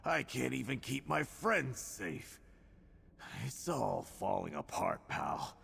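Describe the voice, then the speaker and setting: An actor playing a gruff, weary fighter in a video game, self-blaming but wry, with a gravelly, husky voice and an informal way of talking. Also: male voice, mid-range voice, sing-song, slow, fairly bright tone, long reverb tail, heavily acted. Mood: dejected, shaken. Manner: informal, calls listener pal.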